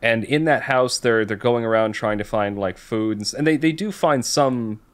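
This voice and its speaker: A man co-hosting a podcast, casually discussing a book and thinking aloud in a halting way. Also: medium pitch. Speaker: podcast co-host.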